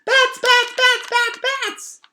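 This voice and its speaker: A man voicing an excited toddler girl, chanting gleefully in a storybook reading. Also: very high-pitched, slow, voice pushed up, full character voice.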